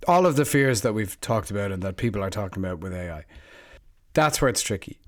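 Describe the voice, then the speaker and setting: A man's voice: on the low side, animated, brisk. A man co-hosting a podcast, talking casually and thinking aloud with hedges and fillers like "you know" and "I suppose". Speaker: podcast co-host.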